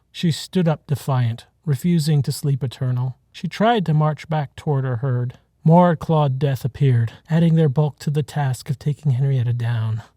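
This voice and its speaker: A man reading a short story as scripted narration, in a measured, dramatic manner. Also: medium pitch, warm tone, no room sound. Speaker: author reading his own short story.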